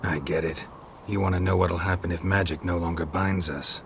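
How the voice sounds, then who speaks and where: Very low, gruff stoic monster hunter played by a man. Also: moderate pace, noisy background, close to an everyday voice. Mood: guarded, dry. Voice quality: low gravelly voice. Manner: laconic, deadpan. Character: gruff, stoic male monster hunter, dry-witted and terse. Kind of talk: video game voice acting.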